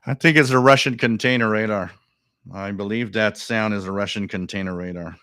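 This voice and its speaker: Low, smooth-voiced man musing on air. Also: a little fast, no echo, clean studio sound. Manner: musing, thinks aloud, repeats phrases; excited bursts over odd signals. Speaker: radio stream host. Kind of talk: casual live commentary.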